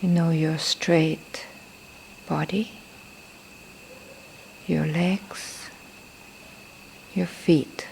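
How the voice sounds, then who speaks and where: A woman who is a non-native English speaker leads a guided meditation as a teacher, speaking softly and breathily, often near a whisper, in gentle, deliberate short phrases with pauses. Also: deep voice, steady tone, gravelly, rough recording. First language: not a native English speaker. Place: temple in Thailand. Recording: faint background noise, live field recording.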